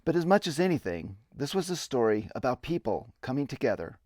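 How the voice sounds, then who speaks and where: A middle-aged man giving a dramatic reading of his own memoir in deliberate, theatrical storytelling. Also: medium pitch, sing-song, a little mellow, no echo, clean studio sound. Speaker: narrator and videographer. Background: music bed.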